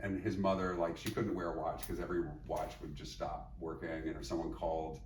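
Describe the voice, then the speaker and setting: Middle-aged man, very low voice, thoughtful interview guest, roomy echo, sits back from the mic. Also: level tone, fairly quick, a little mellow. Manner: thoughtful, meandering, many fillers and restarts. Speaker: psychologist and teacher, podcast guest. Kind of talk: reflective interview conversation. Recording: echoey room, mic at a distance, faint noise.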